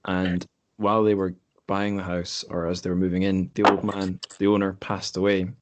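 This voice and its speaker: A man's voice: sits low, little pitch movement, normal speed, heavy background noise. A man hosting a podcast, chatting casually and telling personal stories in a conversational way. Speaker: podcast host. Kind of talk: casual chat and personal storytelling.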